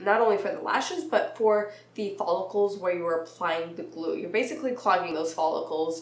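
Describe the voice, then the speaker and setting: Slightly raspy young woman, roomy sound. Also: on the low side. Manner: chatty, laughs mid-sentence. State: recovering from illness, nasal and raspy. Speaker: beauty vlogger. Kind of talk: casual talk to camera.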